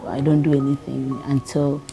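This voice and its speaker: A businesswoman in her thirties speaking slowly and plainly in a documentary testimony. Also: a bass voice, flat delivery, vocal fry, warm tone. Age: 30s. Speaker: interviewee, businesswoman. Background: music.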